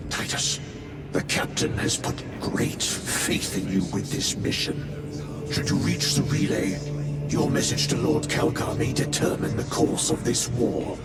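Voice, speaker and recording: A judgmental space-army chaplain voiced by a man, solemn and measured, with a breathy rasp in a medium-pitched voice. The voice sounds set back from the mic beneath a loud game score. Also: lively intonation, recorded from a distance, loud music behind the voice, close to an everyday voice. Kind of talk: cinematic video game acting.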